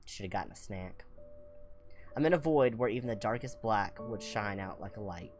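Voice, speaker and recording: A game streamer reading visual novel narration aloud with expressive, playful asides. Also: mid-range voice, moderate pace, faint music behind. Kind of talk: playthrough commentary and read-aloud narration.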